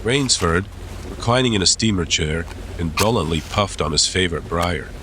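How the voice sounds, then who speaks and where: A man narrating a dramatized audiobook in a measured, suspenseful storytelling style. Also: low voice, lively intonation, a bit bright, a little hiss or hum.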